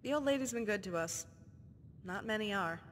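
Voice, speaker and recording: A woman's voice: expressive, normal speed, natural voice. An actress voicing a tough, guarded orphan girl who talks in clipped, terse phrases. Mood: gruff. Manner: clipped, terse street talk. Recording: game audio with long reverb and faint rumble.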